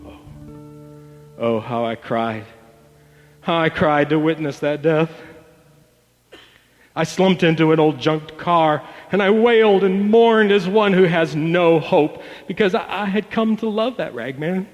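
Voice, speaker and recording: A man telling a devotional parable as a dramatic storyteller, theatrical and emotive, with weighty pauses. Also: a little high, lo-fi sound. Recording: long reverb, music bed behind voice. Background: acoustic guitar music.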